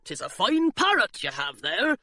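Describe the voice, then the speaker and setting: A woman voicing a burly, bored male pirate guarding a door, drawling in slow, old-fashioned pirate talk. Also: mid-range voice, sing-song, pushed and bright, no room sound. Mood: gruff.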